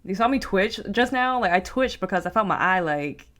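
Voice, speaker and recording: A woman hosting a casual reaction video, expressive and slangy, full of exclamations. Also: medium pitch, fairly quick.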